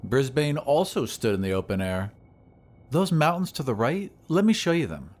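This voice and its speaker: A man's voice: mid-range voice, very animated, moderate pace, a little mellow, lightly acted. A man voicing a polite, apologetic and talkative woman airship attendant who is anxious to please. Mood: eager.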